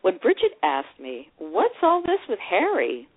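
An actress reading a memoir as a warm-hearted older divorced woman, expressive and measured, narrating a rekindled romance fondly in the first person. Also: medium pitch. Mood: nervously excited. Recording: dull, lo-fi radio-like sound.

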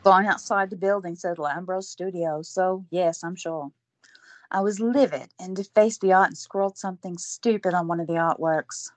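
A woman's voice: lowish voice, normal speed, no echo, close to an everyday voice. A woman playing a flustered, earnest young woman in an audio drama.

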